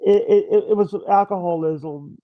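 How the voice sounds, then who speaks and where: High-voiced, halting man with a stutter. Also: no room sound. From Mississippi. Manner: hesitant, halting, restarts often. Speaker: streamer host. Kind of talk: casual chat. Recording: dull lo-fi sound.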